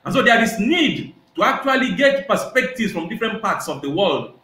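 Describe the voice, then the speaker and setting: High, bright-voiced man with a Nigerian accent. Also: very animated. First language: Igbo. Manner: measured, rhetorical, many hesitations. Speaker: guest professor. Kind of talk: reflective interview answers. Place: small indoor room. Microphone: video call. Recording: echoey room on a video call.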